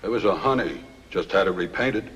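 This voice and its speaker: A grumbling, exasperated trucking boss with a low voice. He complains mournfully and sarcastically, in old film character style, with long reverb and a hissy noise around him. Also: expressive, a little fast, a bit bright, highs cut off. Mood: wistful, resigned. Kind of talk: 1950s film comic character acting.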